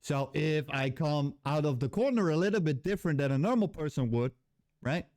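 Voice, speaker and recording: A man's voice: on the high side, animated, brisk, darkish tone, dry recording, studio quality. A man with a Dutch accent speaking English as a second language, ranting bluntly and heatedly to camera, swearing freely and searching for words. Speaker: vlogger, former game level designer. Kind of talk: unscripted angry rant to camera.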